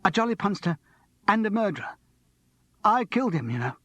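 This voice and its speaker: A man doing a jittery, high-strung young student. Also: big pitch swings, a little fast, fairly bright tone, no room sound, exaggerated voice. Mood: agitated. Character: agitated manic young male student. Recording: slightly muffled dry recording.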